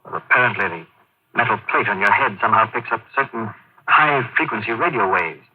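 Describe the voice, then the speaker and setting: A man's voice: on the low side, fairly quick, background noise, natural voice. Tinny old-radio army captain, acted by a man. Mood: calm, reassuring. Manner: deferential, clipped military formality. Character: dutiful, earnest army captain, a general's male aide. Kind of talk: old-time radio drama acting.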